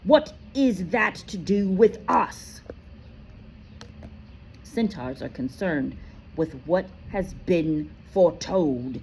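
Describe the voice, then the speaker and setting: A woman reading aloud voices an angry, proud male centaur who shouts scornfully. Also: on the low side, expressive, takes it slowly, a little hiss or hum.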